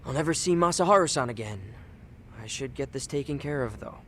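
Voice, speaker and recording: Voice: mid-range voice, lively intonation, a little fast, fairly bright tone. An actor playing a cheeky but earnest teenage boy in a video game dub, talking in offhand, youthful slang. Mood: subdued, matter-of-fact.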